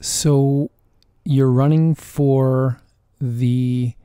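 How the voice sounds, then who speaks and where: A man hosts a podcast in a very dark, mellow voice. His delivery stays flat and even, and the sound is dry. He asks his guest measured questions and checks the facts with her. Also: medium pitch, clear, resonant tone, no room sound. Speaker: podcast host. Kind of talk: interview questions.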